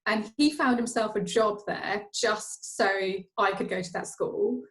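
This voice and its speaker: A chatty woman from Norway talks as a guest, her pitch sitting right in the middle. She speaks casually, doubles back over her own words and restarts sentences as she goes. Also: unhurried, low-quality recording. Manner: chatty, self-interrupting with repeated words. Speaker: guest, finance professional. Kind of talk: casual interview conversation.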